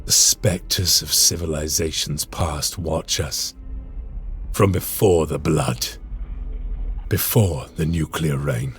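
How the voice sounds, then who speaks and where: A man playing a grave, weary space marine commander in a game, solemn, measured and formal. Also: very deep voice, a bit bright, soft background music, exaggerated voice. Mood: grave, brooding. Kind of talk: dramatic scripted game acting.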